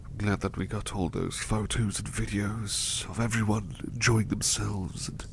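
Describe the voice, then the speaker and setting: A man playing a gentle, devoted butler in a soft ASMR roleplay, bashful and effusively polite, repeating his phrases in a halting, hushed way. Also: on the low side, steady tone, gravelly, slight background noise. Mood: fond, grateful. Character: gentle devoted male butler, bashful, eager to please, doting.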